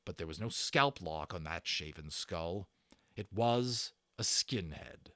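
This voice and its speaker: A man narrating a children's novel in a theatrical way, stressing words and pausing for drama. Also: deep voice, lively intonation, sharp tone, no echo, clean studio sound. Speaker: audiobook narrator and channel host. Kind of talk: dramatic read-aloud storytelling of a children's novel.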